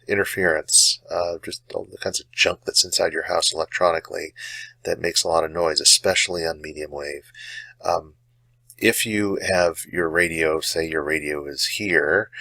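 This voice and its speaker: Male hobby host, extremely low-pitched with a bright edge, gravelly, casual and halting in his explaining. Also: no echo. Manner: halting, hesitant delivery with frequent pauses and trailing phrases.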